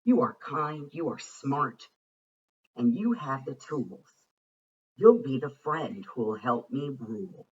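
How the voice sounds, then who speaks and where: A man's voice: mid-range voice, animated, slow pace, recorded from a distance, dull audio. A man voicing a cool, confident schoolboy in a storybook, a loyal friend who speaks with encouraging self-assurance.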